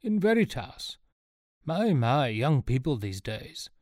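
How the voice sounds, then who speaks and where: A man's voice: mid-range voice, sing-song, slow pace, darkish tone, no echo, studio quality, pitched above the performer's own voice. A man voicing an elderly eccentric professor, once an adventurer, speaking in a theatrical, old-fashioned way. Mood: amused surprise.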